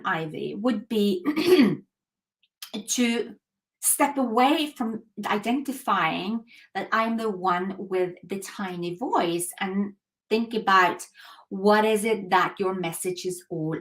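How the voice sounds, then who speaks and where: A woman's voice: medium pitch, animated, takes it slowly, a bit bright, poor audio. Earnest woman coaching a live call. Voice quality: clears throat often. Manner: earnest, emphatic motivational talk with rhetorical questions. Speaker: host of a coaching webinar.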